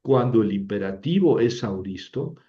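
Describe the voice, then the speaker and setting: A man's voice: lowish voice, expressive, moderate pace. A man teaching a language lesson, explaining patiently and often checking with "¿verdad?". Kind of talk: lecture. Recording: dull lo-fi recording.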